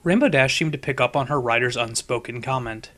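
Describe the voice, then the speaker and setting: A man's voice: medium pitch, brisk, bright, forceful tone. A man narrating a scripted story as an audiobook reader.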